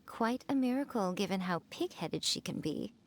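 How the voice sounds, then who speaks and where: A woman's voice: mid-range voice, animated, normal speed, natural voice. A woman voicing a sly, elegant fox shrine priestess in a video game, playful, teasing and self-assured. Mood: breezy, fond. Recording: music behind the voice.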